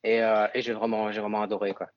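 A man's voice: lowish voice, level tone, fast, dull audio. A man with a northern French accent, a guest on a casual podcast chat, talking hesitantly with fillers and restarts. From northern France. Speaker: podcast guest, team fan.

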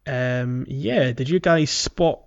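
A man's voice: mid-range voice, slow, gravelly, clear, resonant tone, muffled recording. A man chatting casually as a gaming podcast host, walking through things in a hesitant, explanatory way.

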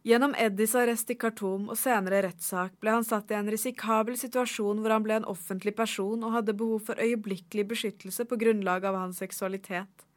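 A woman narrating a documentary report in a measured, even reading voice. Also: medium pitch.

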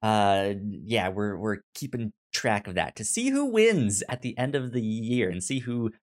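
A podcast host with a halting, stammering delivery, chatting casually about a film. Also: mid-range voice, very animated. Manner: halting, stammering with repeated syllables. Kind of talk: casual movie discussion podcast.

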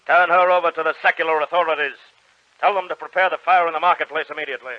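An actor playing a stern church inquisitor in an old-time radio drama, with a commanding, theatrical, threatening delivery. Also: male voice, fairly high pitch, steady tone, fast, natural voice. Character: stern, menacing male church inquisitor judging a heretic. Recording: dull, band-limited old radio recording.

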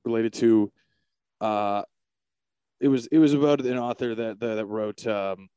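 A man interviewing an author in casual chat, low-voiced and hesitant, full of fillers and restarts. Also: steady tone, measured pace, warm tone, clear, resonant tone, dry recording, dull audio.

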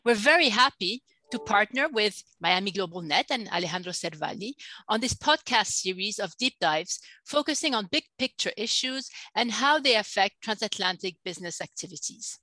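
A woman's voice: mid-range voice, lively intonation, normal speed, pushed and bright. A woman, director of a business organization, reading a formal scripted introduction. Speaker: chamber of commerce director.

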